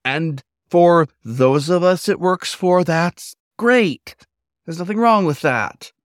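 A man's voice: high voice, expressive, takes it slowly, no room sound, studio quality, pitched above the performer's own voice. A man voicing a nonbinary werewolf cook in a story, an earnest, emphatic explainer with a colloquial way of talking. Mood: earnest, hesitant.

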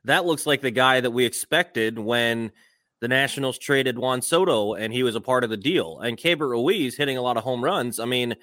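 A man with a medium-pitched voice co-hosts a sports podcast. He talks through his analysis in an easy conversational style. The recording is dry, with no room sound. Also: moderate pace, a bit bright, no room sound.

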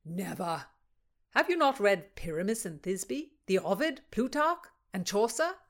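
A woman's voice: medium pitch, sing-song, a little slow, voice pushed up. A woman voicing a proud, teasing young nobleman who declaims love poetry with force. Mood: confident.

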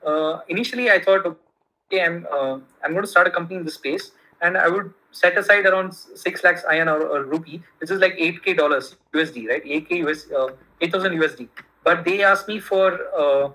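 A man's voice: on the high side, sharp tone, poor audio. A man from India whose first language is Kannada, telling a business story in casual conversation with many fillers and restarts.

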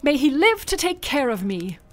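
A man voicing a shrewd, pleading widowed village woman in a storybook retelling, with a soft, breathy voice. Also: very high-pitched, big pitch swings, moderate pace, voice pushed up. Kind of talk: storybook narration.

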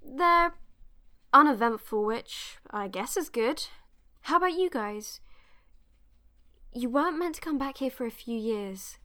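A woman's voice: high-pitched, expressive, a little slow, pauses often, pushed and bright, exaggerated voice. A woman playing a supportive, teasing tech expert in a naturalistic audio drama, with a casual, wry way of talking. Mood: friendly, curious.

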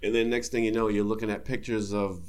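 A man's voice: on the low side, level tone, quick pace, a little mellow, slight background noise. A young man in casual chat who teases dryly and acts out quoted lines.

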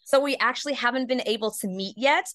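A woman's voice: a little high, quick pace, a bit bright, no echo, clean studio sound. A woman speaking as an interview guest, giving earnest, upbeat answers in a conversational way. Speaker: podcast guest, PA announcer and voice actor.

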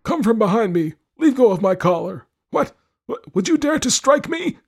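A man's voice: high voice, animated, normal speed, warm tone, slightly rough, voice pushed up, exaggerated voice. A man voicing a miserly, suspicious old lord in an audiobook, snarling, mocking and domineering. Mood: alarmed, outraged. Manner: snarling, grumbling, mocking, nagging. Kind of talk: audiobook character voice.

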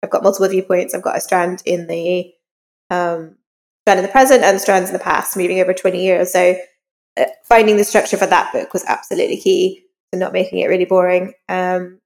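A woman's voice: lowish voice, little pitch movement, fairly quick, gravelly, a little mellow, long reverb tail. A woman talking conversationally as a guest author in an interview.

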